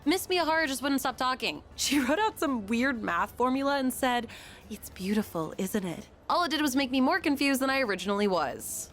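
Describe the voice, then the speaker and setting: A woman's voice: high-pitched, lively intonation, fairly quick, a bit bright, faint music behind. A woman playing an upbeat, sporty teenage schoolgirl in a video game, chatty, frank and playful. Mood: exasperated, amused. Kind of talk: English video game voice acting.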